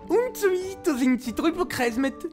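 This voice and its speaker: A woman's voice: high voice, expressive, normal speed, a little mellow. A woman with a Swiss German accent narrating playfully, like a fairy tale told to children. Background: music.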